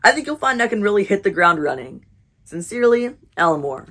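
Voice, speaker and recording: A woman's voice: mid-range voice, sing-song, a little fast, a bit bright. A woman voicing an eager, earnest, cheerful male moose in a storybook, politely formal as he applies for a job. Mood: apologetic, earnest. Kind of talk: storybook read-aloud.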